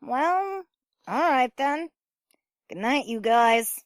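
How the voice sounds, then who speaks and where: Woman acting a sardonic female neighbour, slow drawn-out delivery, swooping higher pitch, audio play. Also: expressive, no echo, clean studio sound. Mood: nonchalant. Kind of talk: amateur audio drama acting.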